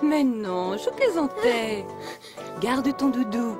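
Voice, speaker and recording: A woman voicing an affectionate, teasing, gently scolding mother in a French children's cartoon. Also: fairly high pitch, big pitch swings, slow pace, music in the background. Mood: teasing, tender.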